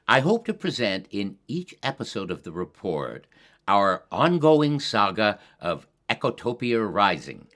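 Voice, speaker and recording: Slow, low-voiced older man hosting. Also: fairly bright tone. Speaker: host of a community climate show. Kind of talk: scripted spoken introduction.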